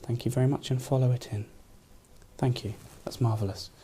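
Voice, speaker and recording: A man's voice: medium pitch, steady tone, a little fast, dark tone, slight background noise. A man working as a doctor, demonstrating a clinical exam with polite, courteous instructions to a patient.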